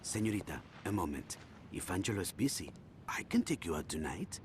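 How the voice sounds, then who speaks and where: An actor playing a smooth, quietly menacing older Colombian drug lord in a video game, courteous and measured. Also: male voice, low-pitched, sing-song, a little hiss or hum. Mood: suave, threatening. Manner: courteous, measured, veiled threat.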